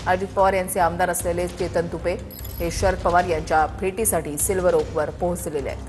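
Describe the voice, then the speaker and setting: A woman's voice: fairly low pitch, flat delivery, brisk, darkish tone, soft background music. A woman anchoring a breaking news bulletin, repeating and expanding on the headline.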